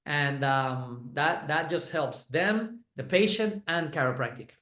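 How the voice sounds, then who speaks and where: Brief: effusive Puerto Rican-accented man, high voice, chatty testimonial with many restarts, echoey room. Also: slow, pushed and bright. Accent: Puerto Rican Spanish. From Puerto Rico. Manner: effusive, repeats words and restarts. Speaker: chiropractor and clinic owner. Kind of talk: enthusiastic testimonial chat.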